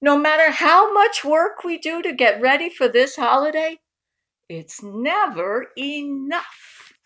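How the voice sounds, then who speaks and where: A woman voicing a weary, practical aunt in a children's picture book, expressive and slightly plaintive. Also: high voice, slow, voice pushed up, full character voice.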